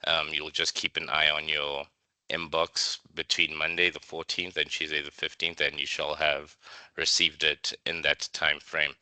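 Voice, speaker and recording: A man's voice: rumbling low, sharp tone, no room sound. A man hosting a webinar, formally and politely welcoming attendees and explaining housekeeping. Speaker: webinar host. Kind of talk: scripted welcome and housekeeping for an online session.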